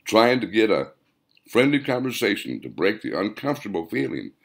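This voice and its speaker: A man reading scripted audiobook narration as the author, in measured, deliberate phrases. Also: on the low side, big pitch swings, gravelly, fairly bright tone.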